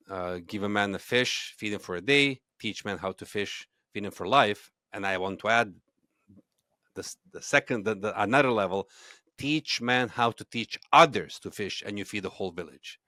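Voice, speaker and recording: Man from Serbia, relaxed storytelling. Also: lowish voice, no echo, studio quality. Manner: fluent storytelling with frequent restarts and fillers. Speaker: guest, movement founder. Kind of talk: conversational podcast interview.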